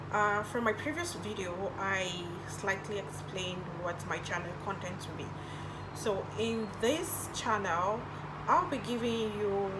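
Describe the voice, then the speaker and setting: A woman's voice: medium pitch, heavy background noise. A young woman with a Nigerian accent, a native Igbo speaker, chatting casually through get-to-know-me questions in her bedroom, recorded on a camera in front of her. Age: young adult. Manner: chatty, answers questions with little laughs. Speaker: YouTuber. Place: bedroom, indoors. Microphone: camera in front at desk distance.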